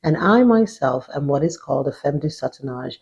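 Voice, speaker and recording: A middle-aged woman from Nigeria speaking as an executive coach, giving deliberate, emphatic motivational advice in an instructive way. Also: low-pitched, expressive, a little mellow, husky, highs cut off. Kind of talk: motivational advice talk to camera.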